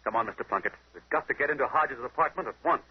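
Keen american detective, mid-pitched, bit husky, articulate, muffled 1930s radio recording. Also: male voice, quick pace, dry recording. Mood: urgent. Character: keen, deductive male detective, inspector's grown son.

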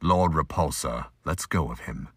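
A man's voice: a bass voice, lively intonation, unhurried, noisy background. A man delivering scripted, emphatic narration for an action audio drama.

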